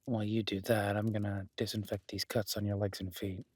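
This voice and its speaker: A man playing a gruff, skeptical but caring cabin dweller in an intimate audio roleplay, blunt and sweary yet grudgingly reassuring. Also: on the low side, flat delivery, a little fast, no room sound, lightly acted. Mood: matter-of-fact caring. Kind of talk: immersive audio roleplay, intimate naturalistic acting.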